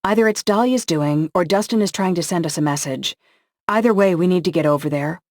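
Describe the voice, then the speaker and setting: A woman's voice: lowish voice, fairly quick, a little mellow, dry recording, clean studio sound, pitched above the performer's own voice, lightly acted. A man voicing a tough, sarcastic young woman warrior in an audiobook, wry and bold. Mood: urgent. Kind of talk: audiobook dialogue.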